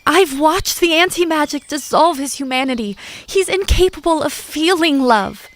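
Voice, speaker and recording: Woman acting loyal earnest friend in a radio play, high voice, measured and formal. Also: animated. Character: earnest, loyal confidant giving measured counsel. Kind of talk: stage audio drama.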